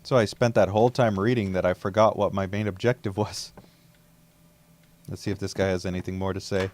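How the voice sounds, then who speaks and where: Let's play host, man: very deep voice, laid-back, mutters and curses at the game. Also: level tone, fairly quick, a little mellow. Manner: laid-back, muttering to himself, occasional cursing. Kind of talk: casual gameplay commentary.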